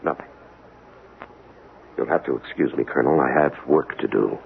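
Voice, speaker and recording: A man's voice: a bass voice, normal speed, creaky, heavily acted. A male actor playing a rigid, by-the-book government investigator in a 1970s radio drama, insistent, officious and argumentative. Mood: defensive, insistent. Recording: tinny, noisy old radio recording.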